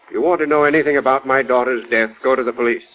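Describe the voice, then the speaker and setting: Man playing a stern, sharp-voiced older patriarch. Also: medium pitch, steady tone, rapid-fire, close to an everyday voice, low-quality recording. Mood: indignant, commanding. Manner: haughty, commanding. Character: stern, imperious wealthy father, cold and sharp-tongued. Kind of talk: 1940s radio acting. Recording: 1940s radio broadcast recording.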